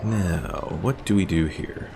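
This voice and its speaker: Low, gravelly man streaming a game. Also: takes it slowly, background noise. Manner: thinks aloud, reads out game stats and chat comments with many fillers. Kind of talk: casual live-stream gameplay commentary.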